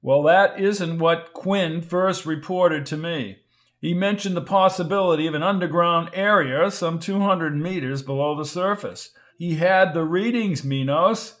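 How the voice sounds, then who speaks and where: A man's voice: fairly high pitch, lively intonation, unhurried. A man voicing a nervous young male doctor who talks in an urgent, agitated, pressing way. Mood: frantic.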